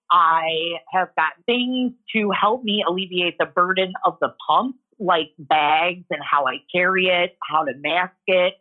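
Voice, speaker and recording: Frank low-voiced woman on a phone line. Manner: frank, tells it like it is. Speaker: patient sharing her story. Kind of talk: personal testimony, reflective. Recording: muffled phone-line audio.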